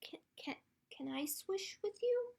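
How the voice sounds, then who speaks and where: A woman voicing childlike little ducklings in a storybook, with simple, emphatic, drawn-out phrases. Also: very high voice, expressive, vocal fry, darkish tone, pitched above the performer's own voice, full character voice. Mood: shy, hopeful.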